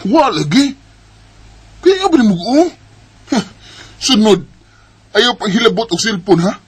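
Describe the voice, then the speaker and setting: A man's voice: high-pitched, big pitch swings, measured pace, slightly rough, full character voice. A man with a Cebuano Bisaya accent playing an evasive, irritable, defensive husband in a radio drama. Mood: irritated. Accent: Cebuano Bisaya Filipino.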